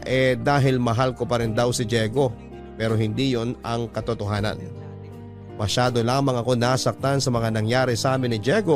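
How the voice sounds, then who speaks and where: A man's voice: mid-range voice, natural voice. A man narrating a heartfelt letter of heartbreak and guilt in classic Filipino radio drama style, reflective and sorrowful. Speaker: radio show narrator reading a listener's letter. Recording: clear music bed behind narration.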